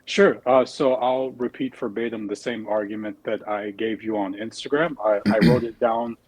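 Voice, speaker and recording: A man's voice: on the low side, fairly even intonation, normal speed, a little mellow, rough recording. A young man from the United States, a debate guest who speaks politely and a bit hesitantly. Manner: polite, hesitant, uses fillers. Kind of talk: debate argument.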